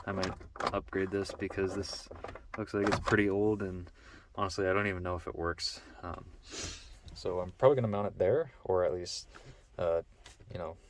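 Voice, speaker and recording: A man's voice: low voice, slight background noise. A man hosting a casual how-to vlog, talking informally and thinking aloud as he explains. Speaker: vlog host showing a DIY truck install.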